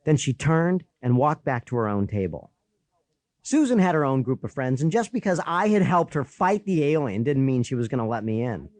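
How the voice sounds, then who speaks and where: A man narrating a children's story in the first person, with an animated, boyish storytelling manner. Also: mid-range voice, normal speed, no room sound. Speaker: audiobook narrator.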